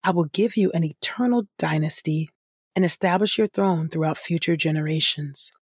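A woman reading scripture as a podcast host, in a measured style with deliberate, reverent phrasing. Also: deep voice, darkish tone, slightly rough, dry recording, studio quality.

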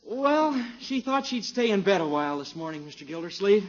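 An earnest young American in vintage radio comedy, polite and a little nervous. The voice is very high for a man and lively. The recording sounds dull. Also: a little fast. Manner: polite, hesitant. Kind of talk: 1950s radio comedy acting.

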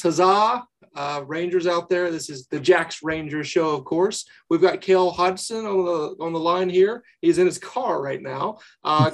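Relaxed fan-podcast host, fading Southern accent, light-pitched and joking. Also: male voice. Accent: faint North Carolina accent, mixed with New England. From North Carolina. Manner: laid-back, jokey, laughs often. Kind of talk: casual podcast interview.

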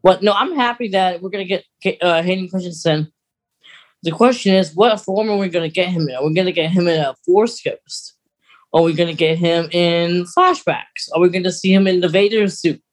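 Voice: medium pitch, brisk. A podcast host talking through fan news in a casual, conversational chat.

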